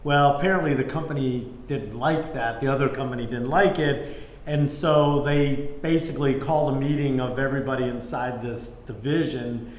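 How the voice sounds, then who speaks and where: A man's voice: mid-range voice, fairly even intonation, moderate pace. A middle-aged man, an interview guest, telling anecdotes in an informal conversational way. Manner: informal, peppered with "you know" and "like". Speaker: business executive, interview guest. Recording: echoey room, faint background noise.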